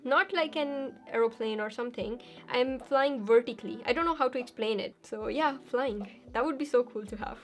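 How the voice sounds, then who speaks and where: A young woman answering viewer questions in a casual vlog, chatty and quick to laugh. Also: on the high side. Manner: chatty, laughs easily, reads questions aloud then answers.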